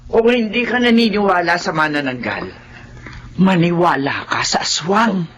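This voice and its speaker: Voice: mid-range voice, lively intonation, normal speed, a bit bright, natural voice. A performer playing an earnest, superstitious village wife who speaks with grave insistence in a horror radio drama. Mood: grave, insistent. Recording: dull, noisy radio drama sound.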